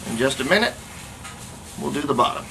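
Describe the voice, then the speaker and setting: A hobbyist restorer giving a casual hands-on workshop demonstration, thinking aloud in a halting way as they work. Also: medium pitch, lively intonation, vocal fry, a bit bright. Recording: noisy room recording with ambient sound.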